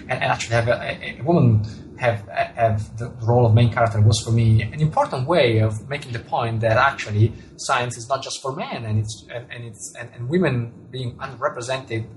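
A man's voice: mid-range voice, moderate pace, a little hiss or hum, rough recording. A man with an Italian accent, an author answering interview questions thoughtfully, often repeating words and restarting his sentences. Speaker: author and astronomer.

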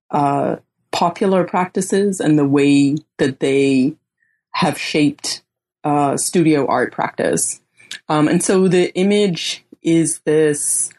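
Slow, low-voiced woman from the Bahamas. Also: darkish tone. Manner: slow and measured, many ums and pauses. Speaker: guest author. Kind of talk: thoughtful academic interview answers.

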